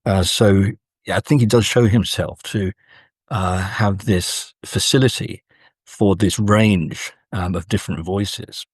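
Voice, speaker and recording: A man's voice: low voice, steady tone, unhurried, breathy edge, no echo, clean studio sound. A man talking as an academic guest, considered and hesitant, in a scholarly conversation. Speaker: academic author, interview guest.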